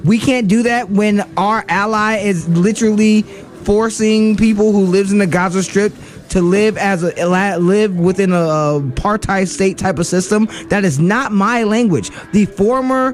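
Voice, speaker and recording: A man's voice: high voice, unhurried. A man co-hosting a radio show, giving heated political commentary with many fillers and restarts.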